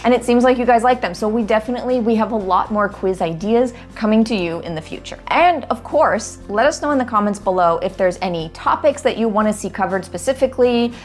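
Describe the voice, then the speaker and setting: A woman in her thirties from California with an American accent, presenting her channel in a clear, measured, friendly way. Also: a little high, faint music behind. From California, USA. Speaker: co-host, wine presenter. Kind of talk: scripted presentation to camera.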